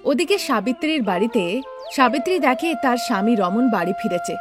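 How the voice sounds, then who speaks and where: A woman's voice: a little high, very animated, moderate pace, over music. A woman narrating a scripted cartoon story.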